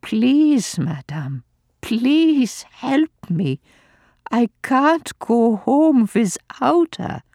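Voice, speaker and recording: Reader playing a desperate doctor, formal and imploring, strained raised voice, slow. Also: mid-range voice, sing-song, darkish tone, no echo, clean studio sound, pitched above the performer's own voice, heavily acted. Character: desperate, pleading middle-aged male doctor.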